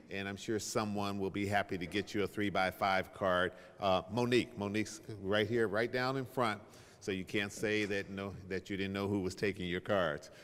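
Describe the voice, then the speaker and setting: Middle-aged male moderator, deeper voice, informal and self-deprecating with an audience, long hall reverb. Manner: conversational, joking, self-deprecating. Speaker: panel moderator. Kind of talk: informal spoken introduction to an audience. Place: large reverberant event room.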